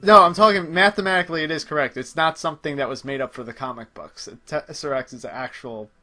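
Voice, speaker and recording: A man's voice: a little high, quick pace, bright, forceful tone. A man giving casual gameplay commentary as he plays, explaining details a little pedantically. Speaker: host playing the game.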